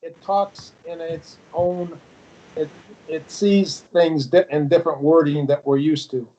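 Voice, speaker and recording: Mellow man, hesitant, dull lo-fi audio. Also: a little high, fairly even intonation, a little slow. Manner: hesitant, restarts often. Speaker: guest. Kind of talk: casual chat.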